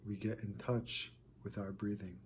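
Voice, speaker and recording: A man leading a guided meditation, speaking softly with long pauses between phrases. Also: on the low side, flat delivery, slight background noise. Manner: slow, soft-spoken, with long pauses.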